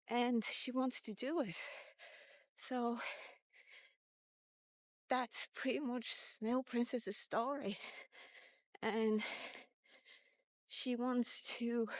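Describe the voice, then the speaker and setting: Higher-voiced woman in her fifties, unhurried storyteller. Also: dry recording. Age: 50s. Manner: informal, halting, thinking aloud. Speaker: vlogger. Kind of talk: casual rambling personal storytelling vlog, told in the third person. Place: walk-and-talk recording.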